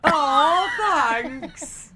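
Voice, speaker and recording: A fairly high-voiced woman co-hosts a morning radio show from the studio. She teases and makes dry remarks in easy, chatty banter. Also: expressive, very slow, pushed and bright. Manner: teasing, dry asides. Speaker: radio co-host.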